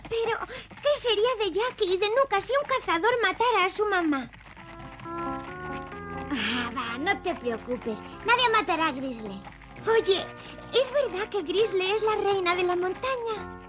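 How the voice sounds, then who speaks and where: A woman voicing an earnest, anxious young child in a dubbed cartoon, with a high childlike voice. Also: animated, unhurried. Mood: worried. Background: music.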